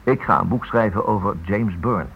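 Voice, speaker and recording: A man's voice: on the low side, moderate pace, natural voice. An actor playing an earnest writer in a Dutch radio play, hesitant and eager as he stammers through his sentences. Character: earnest, hesitant male writer researching a criminal. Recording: muffled, noisy old radio play recording.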